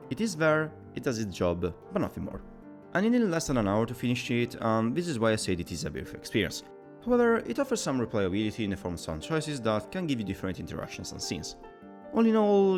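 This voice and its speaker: Male video reviewer, scripted narration, brisk and animated, soft music underneath. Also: mid-range voice. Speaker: video game reviewer, channel host.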